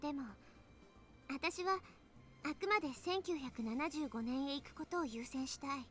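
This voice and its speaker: Lively anime game girl, super high and fast, earnest. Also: soft background music. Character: lively, earnest teenage girls in an anime visual novel. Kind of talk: Japanese anime game voice acting.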